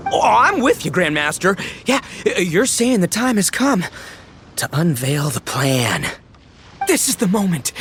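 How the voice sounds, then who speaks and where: An actor playing a loud, overexcited, boastful young oni gang boss in a video game, with dramatic exclamations. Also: male voice, high-pitched, slight background noise, full character voice. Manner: dramatic gasps and drawn-out exclamations. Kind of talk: animated video game voice acting.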